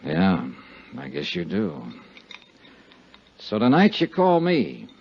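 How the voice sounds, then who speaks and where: Low-voiced hardboiled radio detective. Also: male voice, huge pitch swings, takes it slowly, darkish tone, husky, exaggerated voice. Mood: wry, probing. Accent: American. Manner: clipped, wry, hardboiled. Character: shrewd, wry, hardboiled male insurance investigator. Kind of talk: 1950s radio detective drama acting and narration. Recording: muffled, noisy 1950s radio recording.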